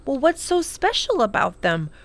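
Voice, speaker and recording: A woman's voice: sits high, very animated, moderate pace, dry recording, pitched above the performer's own voice. A woman voicing eager, curious, sweet children in a storybook, with a wide-eyed, questioning manner. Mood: inquisitive. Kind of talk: children's storybook character reading.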